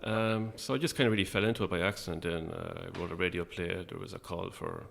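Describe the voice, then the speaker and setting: Deep, gravelly middle-aged Irish man. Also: lively intonation, fast, fairly bright tone, a little hiss or hum. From Ireland. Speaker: guest, playwright and actor. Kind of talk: casual interview chat.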